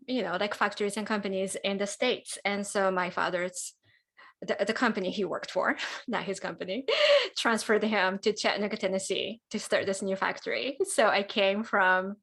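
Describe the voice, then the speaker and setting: A woman in her forties from Japan, Japanese her first language, answering interview questions in a relaxed, chatty way over a video call from home. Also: mid-range voice. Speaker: guest pianist. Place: home room, indoors.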